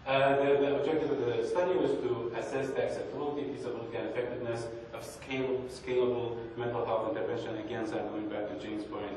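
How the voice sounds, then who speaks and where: A man's voice: mid-range voice, level tone, a little mellow. Man, young adult, academic talk, hesitant, far-off mic, faint background hum. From Kurdistan, northern Iraq. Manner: hesitant, with many pauses and restarts. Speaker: conference speaker. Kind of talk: academic presentation. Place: large conference hall. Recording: echoey hall, distant mic.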